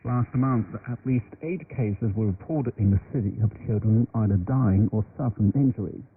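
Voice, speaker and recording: A man's voice: lowish voice, moderate pace. A man reading scripted news bulletins on the radio. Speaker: newsreader. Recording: muffled shortwave radio with faint noise.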